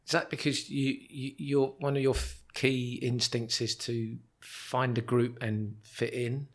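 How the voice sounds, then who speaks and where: Man gently prompting as host. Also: medium pitch, little pitch movement, unhurried. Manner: gentle, supportive prompting with short backchannels. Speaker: podcast host and interviewer. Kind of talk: conversational interview.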